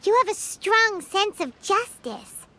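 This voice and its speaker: A woman voicing a tiny, excitable, childlike fairy companion with a squeaky voice in a video game, curious and inquisitive. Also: slow, slight background noise, heavily acted. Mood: curious, surprised. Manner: exclaiming, inquisitive, talks in third person. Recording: music behind the voice.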